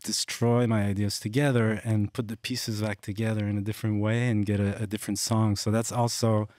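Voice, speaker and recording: A man's voice: fairly low pitch, little pitch movement, fairly quick, darkish tone, dry recording. A man from Canada, a band member in an interview, rambling and restarting his phrases. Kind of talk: casual interview chat.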